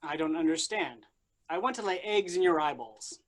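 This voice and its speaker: A man's voice: on the high side, animated, normal speed, close to an everyday voice. A man voicing a naive, rude, bloodthirsty chupacabra pet in a staged comedy reading. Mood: naive menace. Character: childlike, bloodthirsty male chupacabra pet monster, devoted.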